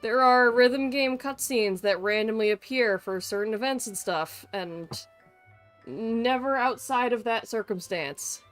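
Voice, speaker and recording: A woman's voice: fairly high pitch, unhurried, fairly bright tone, soft background music. A young woman livestreaming with casual, irreverent game commentary, sarcastic and sweary.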